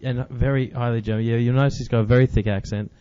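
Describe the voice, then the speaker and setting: Mellow man, casual podcast host. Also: mid-range voice, fairly even intonation, quick pace. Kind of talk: casual chat. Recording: dry, slightly dull recording.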